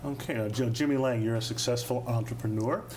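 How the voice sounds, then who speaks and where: A man hosting a studio interview show, introducing guests and asking questions in a measured way with frequent fillers. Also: medium pitch, fairly even intonation, darkish tone, slight background noise. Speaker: TV talk show host.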